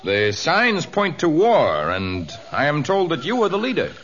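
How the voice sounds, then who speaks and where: An actor playing a noble, well-spoken jungle hero in a radio adventure drama, formal, articulate and grave. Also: male voice, on the high side, wildly swooping pitch, unhurried, a bit bright, full character voice. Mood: grave, suspicious. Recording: dull noisy old radio recording.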